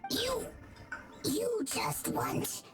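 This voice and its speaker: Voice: very high voice, sing-song, drawn-out words, exaggerated voice. An actor voicing a haughty, sinister mage monster in an anime video game, theatrical and taunting. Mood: wary, menacing. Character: haughty, sinister Abyss mage monster who turns panicky. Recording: game music under the voice.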